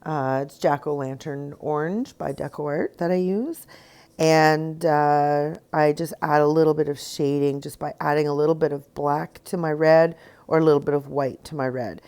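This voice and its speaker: A woman with a cold, a bit stuffy and slightly raspy, talking through a rock painting tutorial in a rambling way with many ums and uhs. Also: sits low, steady tone, normal speed, dark tone. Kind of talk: casual painting tutorial narration.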